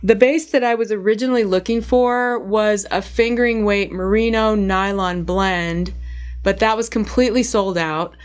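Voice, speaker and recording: A woman with a cold, her voice hoarse, chatting casually to camera about knitting and yarn, with frequent ums. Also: mid-range voice, fairly even intonation, normal speed. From United States. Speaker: knitting vlogger.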